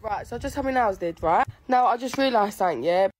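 Woman, brash, defiant, mid-pitched. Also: animated, brisk, darkish tone, slight background noise. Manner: brash, defiant, peppered with "yeah" and "innit". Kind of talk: venting to camera in a social media clip.

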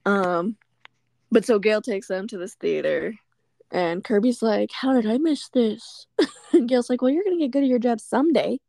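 A woman's voice: fairly high pitch, moderate pace, vocal fry, dark tone, no room sound. A woman co-hosting a podcast, casually recapping a film scene by scene and laughing often as she goes. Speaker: podcast co-host. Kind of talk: casual movie recap chat. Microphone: remote call.

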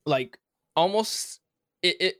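A man's voice: high-pitched, lively intonation, slow pace, a bit bright, no room sound, studio quality. A young man hosting a podcast, talking casually with lots of fillers and restarts. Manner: rambling, many fillers and restarts. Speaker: podcast host.

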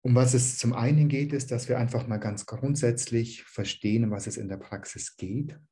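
A man teaching dharma to an online group, calm and searching, with a slight cold. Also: medium pitch, moderate pace. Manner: calm, searching, with many "ähm" restarts.

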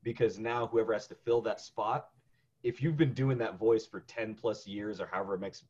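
A man's voice: on the low side, fairly even intonation, moderate pace, a little mellow, slightly rough, highs cut off. A male voice actor co-hosting a podcast, chatting casually and often repeating words as he starts a thought.

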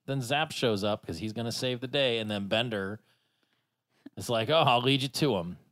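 Halting, chuckling man in a casual chat. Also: mid-range voice, fairly bright tone. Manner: halting, restarts, laughs often. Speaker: podcast co-host.

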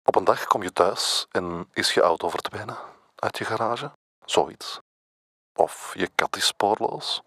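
A man's voice: lowish voice, a bit bright, slightly rough, full character voice. An actor playing a brooding, laconic Flemish man in his thirties, narrating an intimate audio drama in terse, understated phrases. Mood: guarded, quiet. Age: 30s. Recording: music bed under the voice. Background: music.

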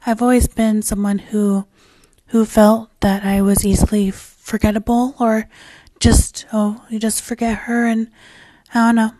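A woman talks through her own podcast with a raspy, worn-out voice that is nearly gone. She rambles candidly, circling back over her words and swearing casually. Her tone sits dark and mellow with little rise and fall, and the recording is dry and close. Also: fairly high pitch, little pitch movement, a little slow, no room sound, low-quality recording. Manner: rambling and candid, with frequent fillers and restarts. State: hoarse, losing her voice. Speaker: podcast host. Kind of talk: casual solo podcast chat.

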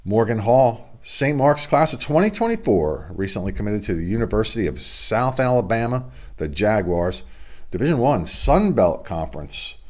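Middle-aged man, low-pitched and stuffy from a cold, rambling chatty host at home. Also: sing-song, moderate pace. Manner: chatty, rambling, self-deprecating. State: congested from a cold. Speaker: local sports podcast host. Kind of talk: casual solo sports report. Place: home studio. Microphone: video stream webcam setup.

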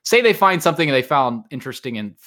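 A man's voice: medium pitch, big pitch swings, fast talker, pushed and bright. A man hosting a podcast in casual chat, talking in a rambling, informal and self-deprecating way. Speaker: podcast host.

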